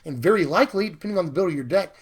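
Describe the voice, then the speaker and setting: A man hosting a card-game spoiler video, talking casually with excitable, gushing enthusiasm while he is hoarse and losing his voice. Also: high voice, rapid-fire. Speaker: channel host reviewing card spoilers.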